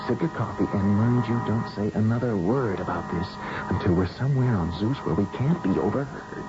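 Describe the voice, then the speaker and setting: An actor playing an earnest, suspicious scientist in a futuristic radio drama, speaking in a halting, conspiratorial way. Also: male voice, lowish voice, close to an everyday voice. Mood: urgent, hushed. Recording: dull old radio broadcast, music behind.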